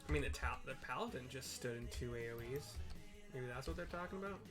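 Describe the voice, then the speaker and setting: A man's voice: medium pitch, expressive, a little fast. Casual man narrating his gameplay over music. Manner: rambling, mildly sweary, self-correcting. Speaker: gamer narrating a playthrough. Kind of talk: casual play-by-play with audio description. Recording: game music behind the voice. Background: video game music.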